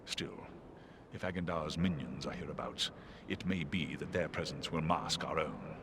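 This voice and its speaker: A majestic giant eagle in a game cutscene. The voice is hugely deep and solemn, choosing formal words with grave caution over background ambience. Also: male voice, normal speed, vocal fry, background noise. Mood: grave, cautious. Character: great eagle, noble, grave and watchful. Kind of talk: video game cutscene acting.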